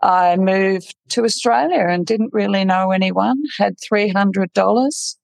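A middle-aged woman from the Netherlands, a podcast guest, telling her life story in a frank, relaxed way and laughing at it. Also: mid-range voice, a little slow, gravelly, no room sound. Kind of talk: casual interview storytelling.

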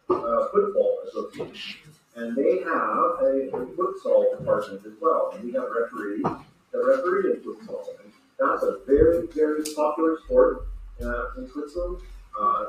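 A man's voice: medium pitch, fairly bright tone, heavy reverb, far from the mic, low-quality recording. A man answering interview questions as a guest referee, hesitant, with many fillers and restarts.

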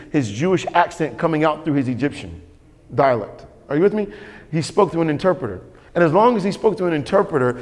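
A man's voice: on the high side, a little mellow. A man preaching a sermon as pastor, emphatic and repetitive, calling for responses from the congregation. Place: church sanctuary. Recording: long hall reverb.

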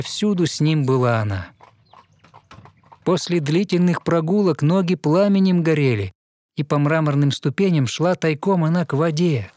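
A man narrating a fairy tale in verse, with a lyrical, rhythmic and expressive scripted delivery. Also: a little high.

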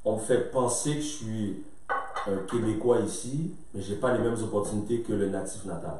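Male basketball coach, animated and rambling, recorded off-mic in a boomy room. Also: moderate pace. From Quebec, Canada. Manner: animated, rambling, checks in with "tu comprends?". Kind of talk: casual storytelling chat. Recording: very echoey room, distant mic.

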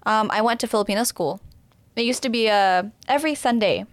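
A woman's voice: fairly high pitch, a little fast, no echo. Chatty woman, dry close recording. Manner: laughs easily, chatty, agreeable. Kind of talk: casual chat.

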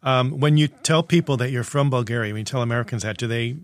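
A man's voice: medium pitch, fast, no echo. A man hosting a radio interview show, asking questions and giving short encouraging replies.

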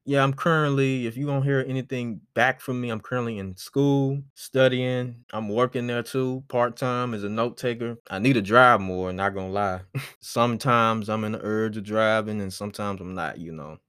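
A twenty-year-old man hosting his solo podcast, rambling in a relaxed way with lots of fillers. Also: medium pitch, moderate pace, smooth, no echo, studio quality. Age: 20s. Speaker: podcast host.

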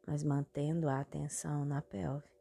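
A woman with a Brazilian accent hosting a guided meditation, giving calm instructions in short phrases with long pauses between them. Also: sits low, creaky, breathy edge. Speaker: guided meditation podcast host. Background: meditation music.

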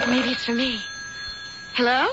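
An actress playing a trusting, warm-hearted young woman, sweet and earnest, in an old-time radio drama. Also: a little high, slow. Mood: eager, excited. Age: young adult. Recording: dull, noisy old radio recording.

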